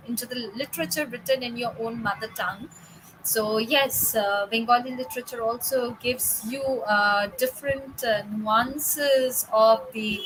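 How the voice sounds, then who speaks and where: Bright-voiced woman moderating a panel. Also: a little high, slight background noise, low-quality recording. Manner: formal, long questions and hand-offs to panelists.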